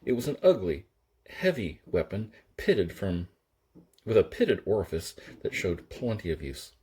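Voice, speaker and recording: Male storyteller for audiobook fiction, husky, with highly animated, dramatic intonation. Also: measured pace. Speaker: audiobook narrator.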